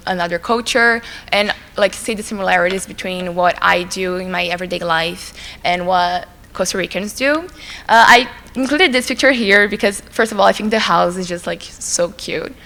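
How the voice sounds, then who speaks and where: A young woman from Brazil, a native Portuguese speaker with a Brazilian Portuguese accent, giving a relaxed, chatty school presentation full of "like" fillers. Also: mid-range voice, moderate pace. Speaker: student presenter. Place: school assembly hall. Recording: long reverb in a large hall.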